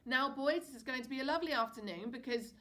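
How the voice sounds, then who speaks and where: A woman voicing a brash, upbeat father who turns gruff when pushed. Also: sits high, quick pace, pushed and bright, voice pushed up. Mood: jolly.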